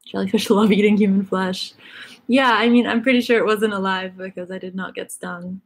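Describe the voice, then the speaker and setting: Woman host, Egyptian Arabic first language, loose chatty live-stream delivery, gravelly and a bit husky. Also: medium pitch, fairly even intonation, a little fast. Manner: chatty, filler-heavy, reads questions aloud. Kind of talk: casual live-stream chat reading viewer comments.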